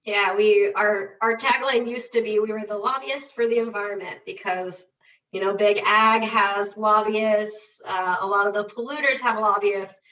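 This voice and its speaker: A woman's voice: medium pitch, fairly even intonation, pushed and bright, echoey room. A woman presenting as a conservation director on a webinar, getting over a cold, with a nasal voice and a casual, friendly way of talking. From Illinois. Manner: casual, friendly, quick asides. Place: home with rural internet. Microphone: computer mic on a video call. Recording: dull distant video call audio.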